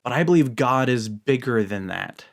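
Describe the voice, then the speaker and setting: A man speaking as a video host, giving reflective commentary to viewers in an earnest, measured manner. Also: mid-range voice, lively intonation, creaky.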